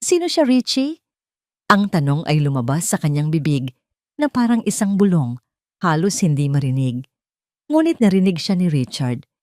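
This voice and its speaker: A woman's voice: low voice, lively intonation, normal speed, darkish tone, dry recording, studio quality. A woman with a Filipino accent narrating a scripted, dramatic audio story.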